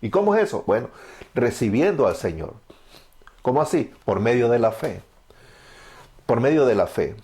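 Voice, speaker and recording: A man's voice: mid-range voice, big pitch swings, moderate pace, pauses often, mellow. A man in his thirties from El Salvador, with a Salvadoran accent, preaching his testimony fervently and answering his own questions. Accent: Salvadoran Spanish. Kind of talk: evangelical testimony sermon.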